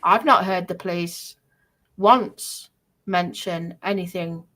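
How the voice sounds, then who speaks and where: A woman's voice: lowish voice, slow pace, fairly bright tone. Aggrieved, emphatic woman talking on a livestream. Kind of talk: aggrieved personal talk on a livestream.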